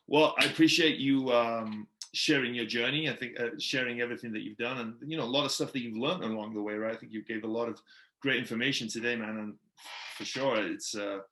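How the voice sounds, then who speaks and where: A man's voice: mid-range voice, a bit bright. A man with a Welsh accent, whose first language is Welsh, hosting a podcast interview in a laid-back, chummy way and laughing often. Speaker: podcast host. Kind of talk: casual interview chat. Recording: roomy echo.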